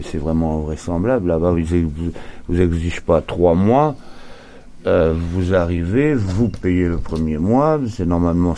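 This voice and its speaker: Laundromat owner, male, very low voice, loose chatty talker who restarts and repeats himself. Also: mellow. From Portugal. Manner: chatty, stumbling with many repeated words. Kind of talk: casual interview chat.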